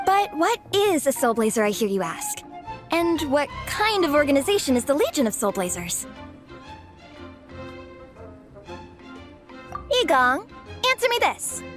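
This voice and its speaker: A woman's voice: high-pitched, very animated, moderate pace. A woman playing a dramatic, playful young student and comic fanatic in a video game, with a theatrical delivery. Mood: grandiose, playful. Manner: theatrical, overdramatic. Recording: faint music behind the voice.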